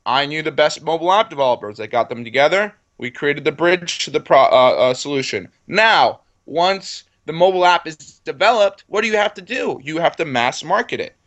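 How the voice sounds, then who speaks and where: Self-assured young man with a higher-pitched voice. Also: expressive, brisk, few pauses, a bit bright. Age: 20s. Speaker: entrepreneur interview guest. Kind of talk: conversational business pitch in an interview.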